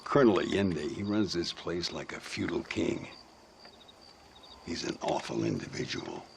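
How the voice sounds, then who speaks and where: A low, gravelly voice of an aging gunslinger, wry and world-weary. He drawls theatrically, as in a Western game cutscene, with faint noise behind him. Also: sing-song, unhurried, full character voice. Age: older. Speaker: video game character.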